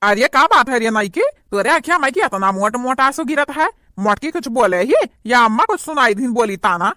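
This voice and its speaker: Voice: mid-range voice, expressive, brisk, sharp tone, no echo. An actor voicing a boastful, filmy cartoon village husband who woos in a swaggering way with an Awadhi accent. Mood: playful swagger. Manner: swaggering, breaks into crooning. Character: filmy, boastful village husband wooing his new wife.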